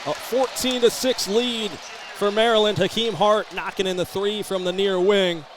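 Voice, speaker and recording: An American man doing brisk, descriptive live basketball play-by-play that can rise to excited calls. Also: high-pitched, crowd noise. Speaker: play-by-play sports announcer. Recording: live arena broadcast, background ambient noise. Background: basketball game ambience.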